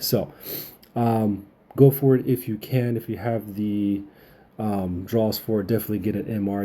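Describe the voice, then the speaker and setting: Low, husky-voiced man, mellow gaming commentary. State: getting over a cold, occasional cough. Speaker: gaming channel host. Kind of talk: casual game update commentary.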